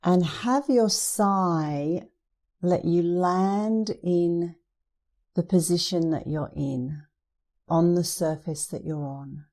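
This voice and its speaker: A woman meditation instructor leading a guided meditation in a soothing, gentle, unhurried manner. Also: on the low side.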